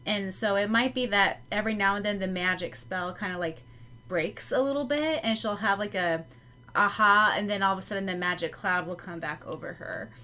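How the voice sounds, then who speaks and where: Bright-voiced chatty podcast woman. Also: medium pitch, moderate pace. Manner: relaxed, rambling, laughs between thoughts. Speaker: podcast co-host and audiobook narrator. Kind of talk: casual chat about a fanfiction chapter.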